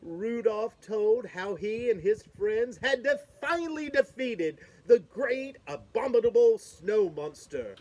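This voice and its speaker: A woman reading a children's picture book aloud, slow and expressive. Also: rough recording. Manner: slow, expressive, lively pitch swings. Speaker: storybook reader. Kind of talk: children's read-aloud narration.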